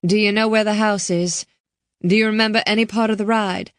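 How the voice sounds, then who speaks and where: A woman voicing a policewoman and mother who forces her voice to stay calm in an emergency. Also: mid-range voice, a little fast, no room sound, studio quality, pitched above the performer's own voice, close to an everyday voice. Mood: urgent calm. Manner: urgent, forcing calm. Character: steady woman cop, protective mother.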